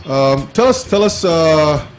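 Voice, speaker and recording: A man in his fifties casually hosting an internet talk show from a small studio, with a halting, informal delivery. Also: fairly high pitch, animated, soft background music. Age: 50s.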